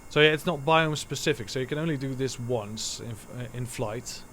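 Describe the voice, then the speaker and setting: A man's voice: medium pitch, a little fast, a bit bright, slight background noise. A man whose first language is Dutch, giving rambling, self-correcting live commentary while streaming a game from home.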